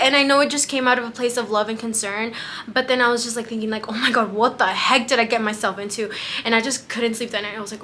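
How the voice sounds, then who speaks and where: Bright-voiced chatty young woman. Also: fairly high pitch, a little hiss or hum. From United States. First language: Spanish. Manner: chatty, many "like" fillers, acts out quoted dialogue. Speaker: travel vlogger. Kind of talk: casual storytelling vlog.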